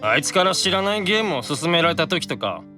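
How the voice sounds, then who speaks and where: A tipsy young demon brother pours out affection in an anime-style audio drama. His bright, high-set voice swings widely, earnest and slurred like an insistent child, with music behind it. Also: very animated, fast.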